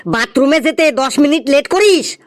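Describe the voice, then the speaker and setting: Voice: high-pitched, lively intonation, moderate pace. A performer voicing a stern, scolding schoolteacher in a comedy cartoon, bossy and full of questions. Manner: bossy, interrogating.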